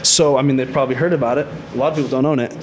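A man speaking as a guest on a podcast, explaining his crypto consulting business in a confident, pitching way, often saying "I think". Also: steady tone, very fast, background noise. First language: Haitian Creole speaker. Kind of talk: casual podcast interview.

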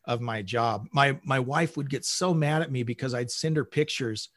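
A middle-aged man talking conversationally as an interview guest, in halting bursts with repeated words. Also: mid-range voice, fairly bright tone, dry recording, studio quality. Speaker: interview guest, manager at a global company.

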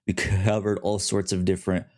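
A man's voice: deep voice, level tone, normal speed, darkish tone. A man co-hosting a casual podcast, chatty, often stumbling and restarting his words. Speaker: podcast co-host.

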